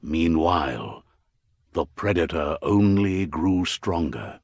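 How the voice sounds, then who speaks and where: Deep, slow, solemn storybook narrator. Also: male voice, animated, husky, no echo, exaggerated voice. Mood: grave, warning. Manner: measured, theatrical, portentous. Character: storybook male narrator of a dying animal world, wry, solemn. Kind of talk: scripted dramatic storybook narration for a video game.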